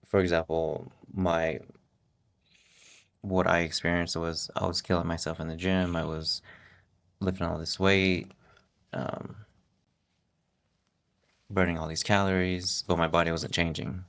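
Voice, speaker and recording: A middle-aged man talking casually as a guest on his wife's podcast, relaxed and halting, with many restarts. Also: low-pitched. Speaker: podcast guest, host's husband. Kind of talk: casual interview talk.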